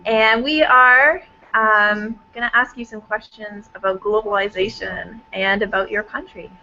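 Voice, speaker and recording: A woman teacher with a quiet, soft voice, hosting a video call with her class. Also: medium pitch, steady tone, measured pace, slight background noise. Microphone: laptop. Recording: dull classroom audio.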